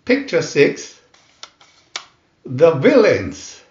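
A man reads aloud in a high voice with swooping, dramatic intonation. He announces each phrase deliberately, like a storybook narrator for children. The recording is dull and lo-fi. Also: wildly swooping pitch, darkish tone. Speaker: booklet reader and channel host. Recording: roomy echo, dull lo-fi sound.

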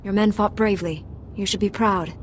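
Actress as resolute female Jedi Knight, game cutscene, lower register, composed and firm. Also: steady tone, a little fast, slight background noise, natural voice. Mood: calm, resolute. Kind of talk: video game cutscene acting.

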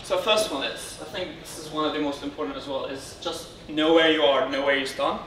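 A man from Syria giving an informal technical conference talk as a speaker, often halting and rephrasing as he goes. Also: fairly high pitch, steady tone. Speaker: conference speaker, software consultant. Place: indoor darkened conference room, on stage beside a lectern. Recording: roomy, distant, noisy room sound.

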